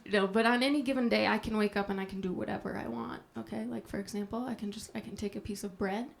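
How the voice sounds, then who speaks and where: A woman from Minnesota doing a stand-up set, soft-spoken and deadpan with self-deprecating jokes. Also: mid-range voice, fast talker, vocal fry. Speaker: stand-up comedian. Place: comedy club stage before a live audience. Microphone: handheld stage mic.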